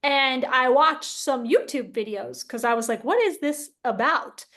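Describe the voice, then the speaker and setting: A woman with a high-pitched voice hosts a casual interview. She speaks informally and with animation, in a giggly, conversational manner. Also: measured pace, fairly bright tone. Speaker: interview host. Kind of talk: casual chat.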